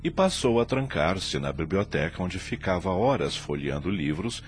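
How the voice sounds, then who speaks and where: A man narrating a radio drama in a measured storytelling tone. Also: deep voice, very animated, faint music behind.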